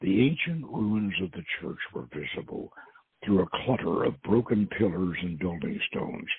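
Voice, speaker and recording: Husky man reading over a phone-like line. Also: deep voice, expressive, no echo. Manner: halting, repeats words and phrases. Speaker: host, reader. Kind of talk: informal recap and dramatic reading of a novel. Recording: muffled narrowband radio-like audio.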